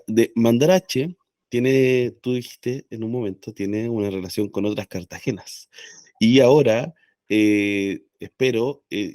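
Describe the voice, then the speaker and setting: A man's voice: fairly low pitch, darkish tone, dry recording, clean studio sound. A man hosting a radio interview, asking long questions with many hesitant fillers. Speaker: radio host.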